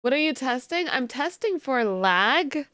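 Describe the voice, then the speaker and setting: A woman streamer with a slightly high voice chats loosely with her viewers, sounding a little sleepy since she just woke up. Her voice is dry and close, with no room around it. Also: very animated, measured pace, clear, resonant tone, no echo. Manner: chatty, thanks viewers warmly and giggles. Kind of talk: casual live-stream chat.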